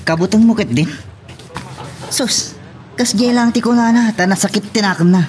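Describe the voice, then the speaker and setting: A man's voice: sits high, normal speed, close to an everyday voice. A man playing a teasing, skeptical drinking buddy in an Ilocano audio drama. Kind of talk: Ilocano radio drama acting.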